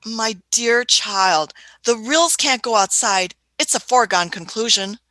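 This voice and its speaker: Woman acting eccentric scientist heroine, high bright voice, fussy, stammering, condescending, radio drama, dry close sound. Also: unhurried, no echo. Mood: patronising.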